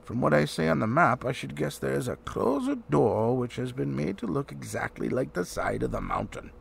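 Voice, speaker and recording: A man voicing a wise, assured old male wizard who explains things in a measured way in a storybook reading. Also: mid-range voice, sing-song, vocal fry. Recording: faint background noise.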